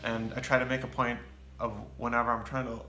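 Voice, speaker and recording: A young American man chatting casually as co-host, relaxed and rambling with fillers. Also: medium pitch, fairly quick. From United States. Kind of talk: casual chat. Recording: echoey room with faint music.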